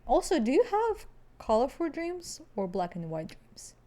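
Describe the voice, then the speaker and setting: A woman's voice: high-pitched, wildly swooping pitch, moderate pace, crowd noise. A woman co-hosting a podcast in casual chat, speaking English as her second language, chatty and prone to tangents as she muses aloud. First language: not English. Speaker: podcast co-host.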